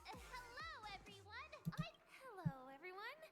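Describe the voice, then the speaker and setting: Flustered teenage girl, very high voice. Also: very animated, normal speed, music in the background. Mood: bashful, flustered. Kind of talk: anime-style game dialogue.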